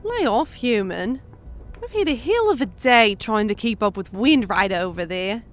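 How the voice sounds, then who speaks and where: A woman voicing a grumpy, sharp-tongued horned woman in a fantasy audio story, with a blunt manner. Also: on the high side, expressive, moderate pace, lightly acted. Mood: irritated, exasperated. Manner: blunt, exasperated.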